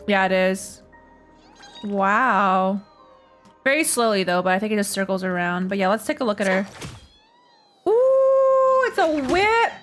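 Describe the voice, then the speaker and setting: Female game streamer, medium pitch, casual slangy reaction commentary, jokey and repetitive, light music underneath. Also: sing-song, measured pace, pauses often. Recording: faint game music behind.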